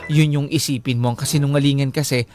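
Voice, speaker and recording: A man with a Filipino accent co-hosting a radio show, chatting casually and reading out comments in an expressive, teasing way. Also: medium pitch, fast talker, faint music behind.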